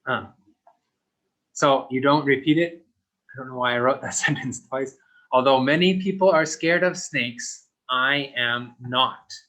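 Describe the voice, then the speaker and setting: Slow, patient male English teacher. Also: medium pitch, pushed and bright, low-quality recording. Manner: speaks slowly and simply for young learners. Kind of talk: slow, deliberate recorded English lesson.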